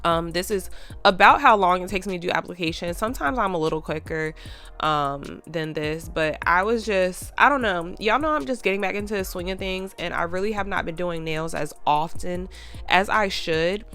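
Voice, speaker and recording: Woman nail vlogger, stuffed-up, chatty, smooth low voice, soft music behind. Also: moderate pace. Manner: chatty, lots of fillers. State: congested, stuffy nose. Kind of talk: casual chat to viewers.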